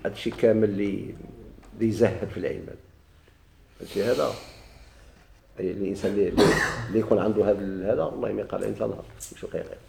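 A man with a Moroccan Arabic accent teaches grammar in a dark, gravelly voice. He moves briskly, repeats key words and quizzes his students. The recording is echoey. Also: lowish voice, slight background noise. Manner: explains slowly, repeats and stretches key words, asks students questions.

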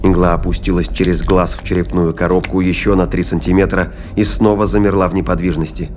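Low-voiced male audio drama narrator. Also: flat delivery, brisk, noisy background.

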